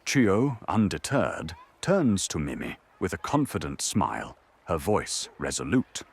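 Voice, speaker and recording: Super deep husky guy narrating an audio drama, really dramatic, voice moves all over. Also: sing-song, unhurried.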